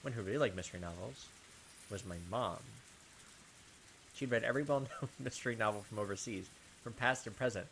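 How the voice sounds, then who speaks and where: A man's voice: fairly low pitch, brisk, pauses often, fairly bright tone, low-quality recording. Man reading aloud over background hiss. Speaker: host of a game playthrough channel. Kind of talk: reading visual novel narration aloud with casual asides.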